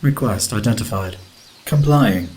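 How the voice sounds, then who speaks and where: A man playing a polite, emotionless space station computer in an audio drama, formal and measured. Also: fairly low pitch, animated, a little mellow, slight background noise. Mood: impassive, polite. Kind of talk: audio drama acting, formal system announcements. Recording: faint background ambience.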